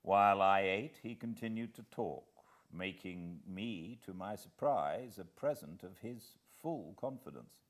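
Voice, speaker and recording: A British man narrating a classic novel as an audiobook, with expressive, measured and deliberate phrasing. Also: low voice. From Britain.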